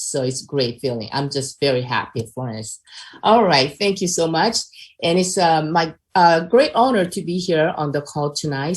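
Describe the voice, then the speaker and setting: An older woman from South Korea with a Korean accent, chatting through a personal testimony on a video call with many fillers. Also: sits low. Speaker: presenter.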